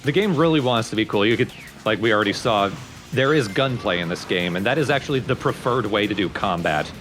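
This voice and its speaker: A man's voice: on the low side, lively intonation, brisk, fairly bright tone, faint music behind. A man hosting a let's play, explaining the game in a casual, wry way.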